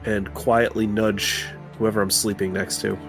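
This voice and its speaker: A man's voice: on the low side, little pitch movement, a little slow, gravelly, soft background music. A man talking casually as a player in a tabletop roleplaying game.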